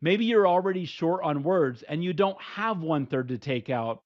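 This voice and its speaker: A man's voice: a little high, normal speed. Middle-aged man, dull lo-fi recording. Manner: steady, plain, numbered advice. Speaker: instructor. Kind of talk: scripted instructional talk.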